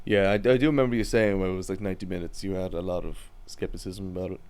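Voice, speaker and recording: A man's voice: deep voice, fairly even intonation, rapid-fire, darkish tone. A man co-hosting a podcast, chatting in an easygoing way about films and actors, swearing offhandedly and trailing off mid-thought. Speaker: podcast co-host. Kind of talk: casual film chat.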